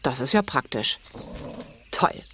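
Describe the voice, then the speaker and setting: A woman voicing an eager, cheerful little boy in a children's audio story, with excited, expressive delivery. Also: extremely low pitch, takes it slowly, husky, exaggerated voice.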